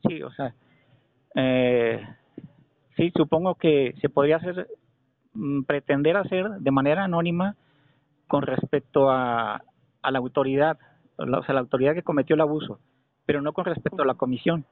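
A Mexican man making a call to argue a point, speaking insistently and haltingly, often repeating phrases for emphasis. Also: fairly high pitch, dry recording. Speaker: caller. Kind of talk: phone complaint, argumentative questioning. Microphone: telephone. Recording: narrow, tinny telephone call recording.

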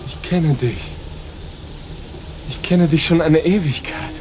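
A man's voice: a little high, slow pace, a little mellow, slight background noise. An actor playing a smitten young man in a radio drama, tender and wooing. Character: young man newly awakened, smitten lover. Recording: music under the voice.

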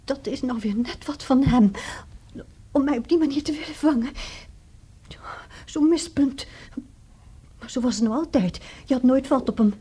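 A woman's voice: high-pitched, moderate pace, pauses often, dark tone, slightly rough. An actress with a Netherlands Dutch accent playing a piqued, wavering married woman in a dramatic 1960s radio comedy style. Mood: exasperated. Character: indignant, wavering married woman seeking a divorce.

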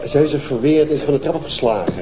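An actor playing a quick, sardonic younger detective partner. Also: male voice, on the high side, noisy background, close to an everyday voice. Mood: brisk. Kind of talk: TV crime drama acting.

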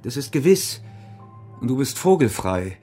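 An actor in a German radio play playing an earnest, worried monk, a loyal companion who speaks gravely. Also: male voice, medium pitch, big pitch swings, a little slow, mellow, faint music behind.

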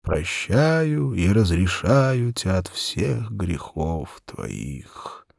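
A grey-haired priest voiced by a male narrator intones a ritual blessing. The voice is husky and the phrases are slow, stretched and solemn. It sounds close and dry, as in a storybook recording. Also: sits low, sing-song, no room sound, heavily acted.

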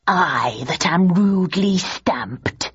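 A villainous king of the stage, performed by a woman narrator, rolls out his verse slowly and grandly. The voice is lowish and theatrical, full of cruel intent, on a slightly dull recording. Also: expressive, husky, full character voice. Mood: malevolent, theatrical. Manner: slow, drawn-out, declamatory delivery. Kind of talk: hammy Shakespearean stage declamation.

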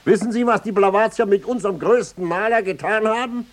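An actor playing a blustering, indecisive colonel with a pompous manner in a 1950s German radio play. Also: male voice, high voice, a little fast, a loud crowd, lightly acted. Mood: stern, blustering. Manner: pompous, clipped, then dithering.

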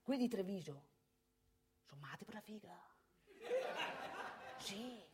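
A woman playing an excitable, crude, boastful provincial man in a live comic theatre monologue, repetitive and chatty. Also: medium pitch, measured pace, crowd noise, natural voice. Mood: excited bragging. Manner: repetitive, emphatic, chatty, addressing listeners directly. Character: excitable, crude, boastful male strip-club regular from provincial northeast Italy.

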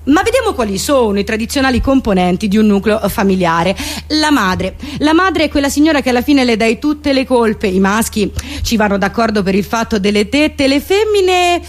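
Woman, very high pitch, comic monologue, theatrical, mock-solemn. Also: very animated, normal speed. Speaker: actress and comedian performing her own monologue.

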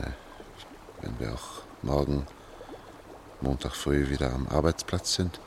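Very deep, gravelly steadfast man. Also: heavily acted. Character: steady middle-aged engineer and family man on the run. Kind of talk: German radio play acting. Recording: clear noise, watery ambience behind.

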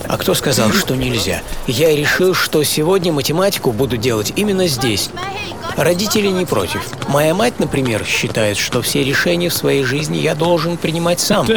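A man's voice: a little high, lively intonation, normal speed, vocal fry, a crowd in the background. A man reading a scripted first-person radio story in a reflective, intimate, wry way. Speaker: radio host and narrator. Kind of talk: scripted first-person audio drama narration. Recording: voice over background music.

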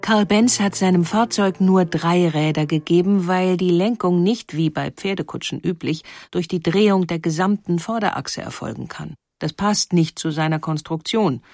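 A woman reading scripted documentary narration in a measured, explanatory way. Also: lowish voice, a little mellow, dry recording, studio quality.

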